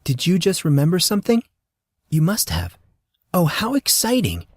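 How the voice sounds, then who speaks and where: A man voicing an excitable, scatterbrained motherly woman, pitched up. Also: moderate pace, no room sound, clean studio sound. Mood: excited. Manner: eager, fussing.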